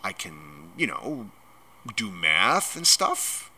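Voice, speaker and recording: A man voicing a polite, puzzled young schoolboy in a storybook, tentative and quiet. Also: low voice, huge pitch swings, takes it slowly, exaggerated voice. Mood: hesitant, puzzled.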